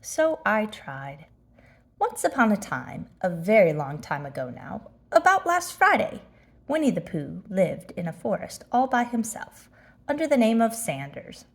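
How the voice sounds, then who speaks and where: A woman narrating a children's storybook aloud in an expressive storytelling manner. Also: mid-range voice, unhurried, gravelly. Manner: animated storytelling. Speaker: storybook reader.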